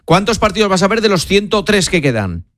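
Fast-talking male radio host. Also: high-pitched, sing-song, no echo, clean studio sound. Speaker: sports radio show host. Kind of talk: casual sports talk, introducing colleagues.

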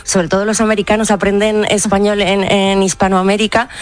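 A woman takes part in a casual radio discussion. Her voice sits at a middle pitch. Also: little pitch movement, fairly quick. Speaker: radio panellist.

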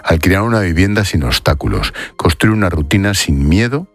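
Low-voiced Spanish male news presenter. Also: expressive, brisk, dry recording, soft background music. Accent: Spain. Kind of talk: broadcast news narration and interview.

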